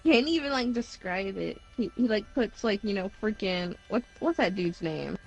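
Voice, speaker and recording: A woman's voice: medium pitch, expressive, moderate pace, gravelly, faint music behind. A young woman chatting casually, offhand and full of fillers, on a dull lo-fi recording. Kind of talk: casual chat. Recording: dull lo-fi sound.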